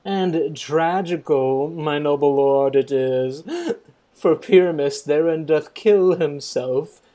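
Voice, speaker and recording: A dry master of revels at court, acted by a woman. The voice is low-lit and mellow, with a slow, deliberate delivery. It is classical Shakespearean acting for a radio play. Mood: wry, disdainful.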